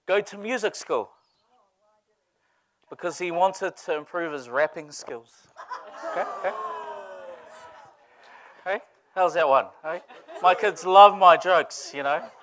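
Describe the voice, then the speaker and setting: A man from Samoa, speaking, gives a chatty, story-driven sermon. His voice sits high and he jokes and laughs freely with the congregation. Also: slow pace, long pauses. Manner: joking, animated, laughs with the room. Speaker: preacher.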